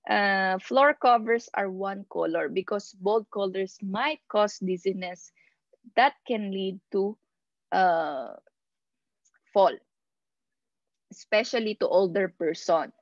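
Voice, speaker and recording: A woman lectures as an instructor, reading points off slides and then explaining them. Her delivery is slow and halting, with pauses in the middle of phrases, at a medium pitch. The sound is that of a dull, lo-fi video call with very little room echo. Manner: halting, pausing mid-phrase. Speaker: instructor of nursing assistant course.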